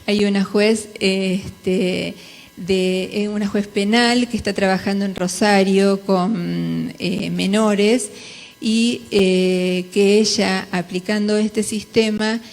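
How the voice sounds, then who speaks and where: Slow-paced Argentine woman in a reverberant hall. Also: medium pitch, steady tone, gravelly. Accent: Argentine Spanish. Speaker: conference speaker, jurist. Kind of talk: conference presentation. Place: large conference hall.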